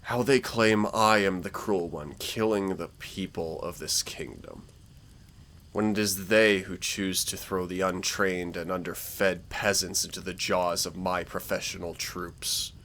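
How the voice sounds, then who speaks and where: A man performs a villainous warlord with a very low, unhurried voice and a creaky edge. He is menacing yet persuasive, with theatrical, condescending delivery suited to a fantasy roleplay drama. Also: steady tone, fairly bright tone, slight background noise. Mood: scornful, indignant. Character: menacing, smooth-talking male dark warlord villain invading dreams. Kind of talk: scripted roleplay audio drama, villain monologue.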